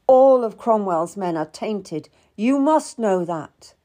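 A woman acts out a Tudor nobleman from a historical story. He is curt and calculating, talking down to those below him. The delivery is animated, with wide pitch movement. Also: unhurried, full character voice. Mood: irritated. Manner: brusque, condescending. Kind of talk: dramatised audiobook character.

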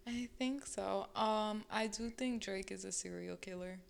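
A young woman co-hosting a casual chat, animated and laughing often as she mimics other people. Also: medium pitch, a little slow, gravelly, clean tone.

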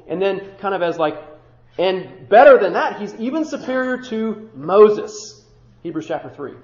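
A man's voice: sits high, a little mellow. A man preaching a sermon as pastor, building rhythmic rhetorical lists with casual conversational asides. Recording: muffled roomy church recording.

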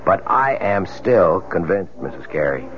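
An actor playing a persistent, world-weary police lieutenant in a 1970s radio drama, speaking in a measured, insistent way with deliberate pauses. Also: male voice, sits low, very animated. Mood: grim, reflective. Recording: dull, noisy 1970s radio recording.

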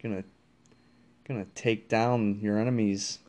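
A man's voice: fairly low pitch, fairly even intonation, unhurried, a little mellow. A man co-hosting a casual podcast with his girlfriend, teasing and joking. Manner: teasing, jokey, laughs a lot. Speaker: podcast co-host. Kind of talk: casual couple chat.

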